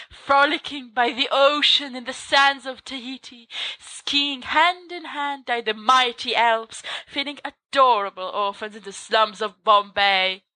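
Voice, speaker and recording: A flamboyant teenage wizard schoolboy pours out overblown devotion in a raised, bright voice, as a woman reader puts him on. He is all dramatics and gush, and the sound stays close and dry. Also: high voice, no room sound, pitched above the performer's own voice, poor audio. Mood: dreamy. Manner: theatrical, gushing, lovestruck declarations.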